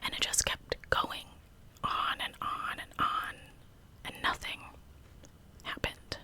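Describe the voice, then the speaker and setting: A woman narrating a story in a breathy, hushed ASMR whisper, with informal storytelling phrasing, close to the microphone. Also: takes it slowly. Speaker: true crime ASMR host.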